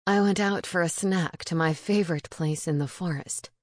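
Bossy owl familiar for a fantasy storybook, played by a woman: lowish male register, insistent, commanding, dry sound. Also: normal speed, no room sound, lightly acted. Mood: urgent.

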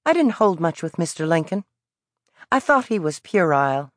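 A woman's voice: fairly low pitch, sing-song, moderate pace, no room sound, clean studio sound, pitched above the performer's own voice. Woman doing a defiant Southern boy. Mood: scornful. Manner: blunt, stubborn drawl.

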